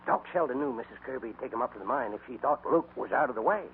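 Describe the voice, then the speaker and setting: A man's voice: mid-range voice, fast talker, natural voice. Man playing folksy grumbling cowboy sidekick, tinny radio. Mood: excited, grumbling. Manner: folksy, colourful drawling complaints. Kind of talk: 1950s radio western acting. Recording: old noisy narrowband radio recording.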